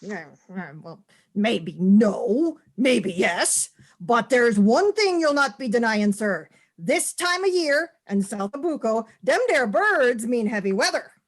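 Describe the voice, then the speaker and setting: A woman playing an excitable, superstitious sailor in a radio play. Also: fairly high pitch, slow pace. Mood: alarmed, foreboding. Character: superstitious Irish sailor man, excitable and garrulous. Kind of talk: old-time radio play acting.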